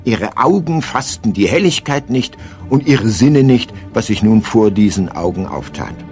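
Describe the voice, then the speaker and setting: A man's voice: on the low side, expressive, measured pace, darkish tone, faint music behind. A man narrating a scripted radio play as its storyteller.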